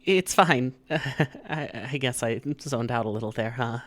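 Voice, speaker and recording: A performer voicing a nervous young male college student, soft-spoken and hesitant with a stammer, in an audio story reading. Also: medium pitch, lively intonation, measured pace. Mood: sheepish.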